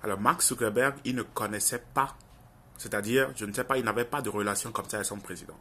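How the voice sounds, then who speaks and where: Husky young man with Francophone African accent. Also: on the low side, fairly even intonation, fast talker, sharp tone. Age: young adult. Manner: earnest, insistent, repeats phrases for emphasis. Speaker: online video commentator. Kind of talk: informal opinion monologue to viewers.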